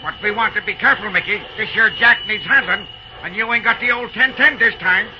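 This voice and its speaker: An actor playing a folksy, excitable railroad fireman, a cautious sidekick who talks colloquially, in an old-time radio drama. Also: high-pitched, brisk, natural voice. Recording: muffled, noisy old radio recording.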